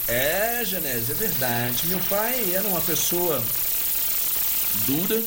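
A man's voice: high-pitched, animated, slow pace. Noisy, emotional radio host, man in his fifties. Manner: emotional, preacher-like appeals with repeated lists. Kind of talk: heartfelt on-air talk to listeners. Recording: noisy radio broadcast recording.

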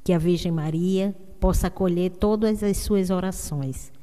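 A woman's voice: fairly low pitch, steady tone, dark tone. Solemn woman leading radio prayers. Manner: slow, solemn, intoning. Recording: long reverb.

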